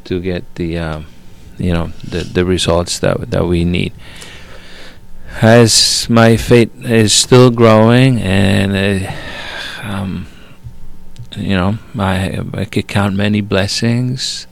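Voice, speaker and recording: Slow, gravelly-voiced middle-aged man. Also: deep voice, flat delivery. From Italy. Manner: halting, many fillers and restarts. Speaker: guest father. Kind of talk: faith testimony, casual talk.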